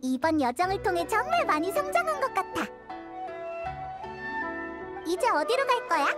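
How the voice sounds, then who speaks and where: Little game fairy sidekick, extremely high childlike pitch, lively swoops, expressive, cheeky. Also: female voice, normal speed. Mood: curious, chatty. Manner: exclamatory, expressive. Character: tiny chatty childlike fairy companion, cheeky and indignant. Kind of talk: video game voice acting. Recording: loud music over the voice.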